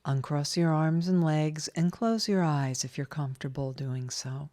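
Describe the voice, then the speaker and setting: A woman leading a scripted guided meditation in a calm, soothing, measured way with long pauses. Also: low voice.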